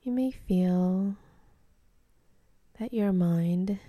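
A woman leading a guided meditation in a soft, breathy, soothing voice, gently giving instructions with long pauses. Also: mid-range voice, no echo.

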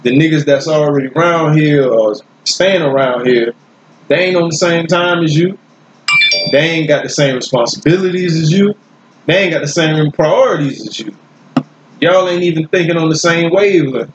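A man's voice: fairly high pitch, normal speed, a little hiss or hum. A man talking bluntly and profanely in a casual podcast chat, laying down street advice. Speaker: podcast co-host. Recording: muffled roomy recording.

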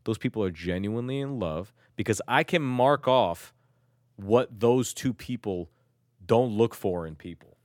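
A man's voice: fairly low pitch, unhurried, a little mellow, no echo, studio quality. A man from Turkey talking in loose casual chat, rambling and self-mocking, with lots of swearing and restarts.

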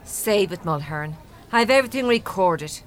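An actress as a female detective in a radio play. She speaks at a middling pitch, mocking and sure of her authority, with faint noise behind her. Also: expressive, normal speed, close to an everyday voice. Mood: triumphant, mocking. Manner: mocking, assured. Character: sardonic, commanding undercover female fraud-squad detective. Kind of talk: audio drama acting.

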